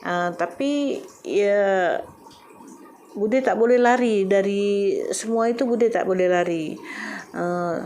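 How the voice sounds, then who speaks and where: A middle-aged woman from Indonesia with a Javanese accent, chatting casually to her viewers and pausing often with "eh". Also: mid-range voice, darkish tone, a little hiss or hum. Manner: hesitant, many filler "eh" pauses. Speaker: vlogger.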